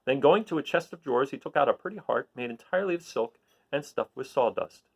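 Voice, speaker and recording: Animated man reading a story aloud. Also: medium pitch, quick pace. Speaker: storybook reader. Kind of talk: expressive read-aloud narration.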